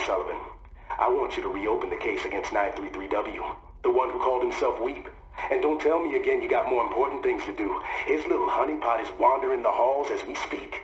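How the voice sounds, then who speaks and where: A stern, echoing commander over radio. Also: male voice, medium pitch. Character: stern, scheming male superior giving orders. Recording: dull, echoing radio-like sound.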